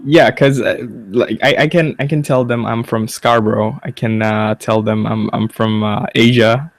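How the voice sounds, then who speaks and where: A young man as a guest in a casual chat, talking haltingly, repeating words as he searches, swearing casually. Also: fairly low pitch, fairly even intonation, no room sound.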